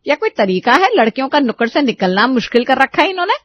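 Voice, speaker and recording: A woman's voice: high-pitched, sing-song, quick pace, dry recording, pitched above the performer's own voice. Actress as a protective story mother, middle-aged, emphatic scolder, close muffled sound. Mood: indignant. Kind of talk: animated story dialogue.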